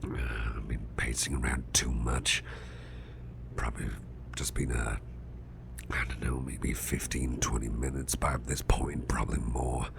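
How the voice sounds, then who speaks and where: Casting: male actor as grumpy, fretful boss for ASMR roleplay, rock-bottom growly half-whisper, slow halting mutter, repeats himself. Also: level tone, noisy background, full character voice. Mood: fretful, rambling. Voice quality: gravelly, growly, very deep, breathy half-whisper. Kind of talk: ASMR roleplay, spoken softly to the listener.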